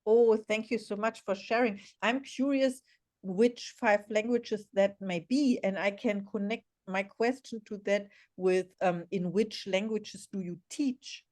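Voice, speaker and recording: A woman's voice: mid-range voice, measured pace, a little mellow. A woman whose first language is German, hosting a podcast interview in an enthusiastic, encouraging way. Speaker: podcast host.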